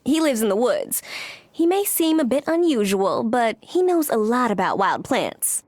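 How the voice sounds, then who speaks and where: Friendly, animated villager. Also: female voice, a little high, moderate pace, no echo. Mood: friendly, informative. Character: friendly, helpful village woman.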